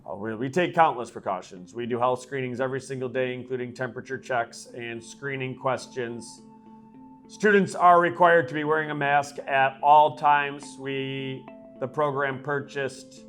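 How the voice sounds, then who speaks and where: A man's voice: medium pitch, a bit bright. A man in his thirties, a freshman football coach, explaining matter-of-factly in a documentary interview. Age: 30s.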